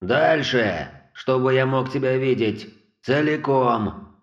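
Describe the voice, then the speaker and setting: A man voicing a gruff, suspicious American cop of about forty who talks bluntly and gives orders. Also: mid-range voice, slow, dry recording, lo-fi sound, voice pushed up. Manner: blunt, rude, commanding.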